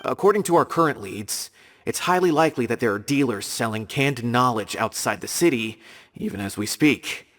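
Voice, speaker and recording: A man's voice: medium pitch, expressive, moderate pace, fairly bright tone. A man voicing a polite, earnest male academy official in a video game, explaining matters formally and courteously. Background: game music.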